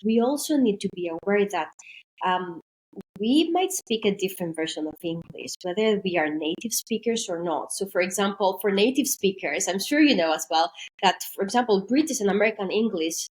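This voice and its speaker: Woman, podcast guest, careful explanations, mid pitch. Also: animated, normal speed, low-quality recording. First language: Greek. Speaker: podcast guest, maritime trainer. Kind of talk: podcast interview.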